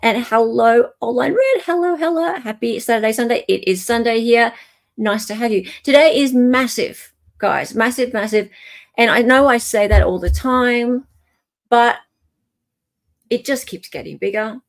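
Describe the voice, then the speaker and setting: A woman's voice: fairly high pitch, normal speed, gravelly. A woman hosting a casual livestream, gushing and repeating words for emphasis. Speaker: livestream host.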